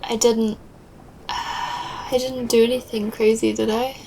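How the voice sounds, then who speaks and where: A woman's voice: a little high, little pitch movement, gravelly, warm tone, a little hiss or hum, rough recording. An actress playing a laid-back young female traveller in casual audio drama dialogue. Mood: uneasy. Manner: casual, unhurried.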